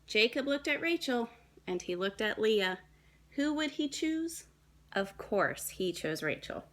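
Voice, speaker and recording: A woman's voice: fairly high pitch, sing-song. Woman reading a children's storybook expressively. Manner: dramatic, deliberate phrasing with hushed and emphatic moments.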